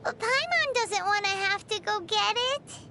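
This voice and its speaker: Squeaky, very high-pitched tiny girl companion. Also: unhurried, pushed and bright, slight background noise, heavily acted. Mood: playful. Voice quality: squeaky, childlike. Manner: speaks of herself in third person, exclamatory. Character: tiny childlike floating girl companion, gluttonous, excitable. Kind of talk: video game voice acting. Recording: music behind the voice.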